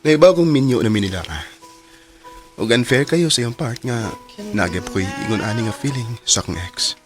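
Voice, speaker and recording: A regretful young lover speaks softly and hesitantly, as a man acts him out in a radio drama. His voice sits at an ordinary male pitch, reflective and unhurried, with faint music beneath. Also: animated, lightly acted. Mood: wistful. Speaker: narrator and lead.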